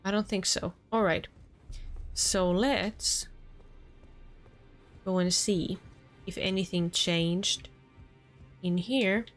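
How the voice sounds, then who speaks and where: A woman's voice: medium pitch, steady tone, very slow, long pauses, soft background music. A young woman with a Finnish accent, chatting earnestly as she wraps up a let's play session from her home room. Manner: chatty and earnest, with small restarts. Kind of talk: casual gameplay commentary.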